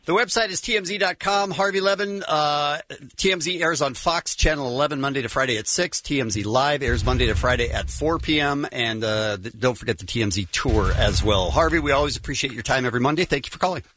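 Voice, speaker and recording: A man's voice: mid-range voice, quick pace, sharp tone, slight background noise. A man hosting a morning radio show, reading promos and taking callers. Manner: upbeat, reads promos and takes calls. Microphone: studio mic.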